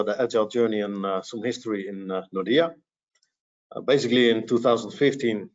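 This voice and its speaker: Webinar presenter; fairly low male voice, steady with fillers, lo-fi video call sound. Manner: measured, with fillers. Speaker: presenter, bank employee. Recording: dull, lo-fi video call audio.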